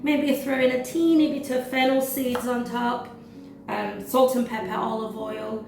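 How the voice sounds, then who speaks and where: A woman with a slightly hoarse, gravelly voice talks through a recipe for her cooking vlog, chatty and full of "um"s. She sits a bit higher in pitch, and she's picked up from a distance in an echoey kitchen with music playing behind her. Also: steady tone, measured pace, darkish tone. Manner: chatty, with many "um" fillers. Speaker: cooking vlogger. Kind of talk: casual cooking demonstration.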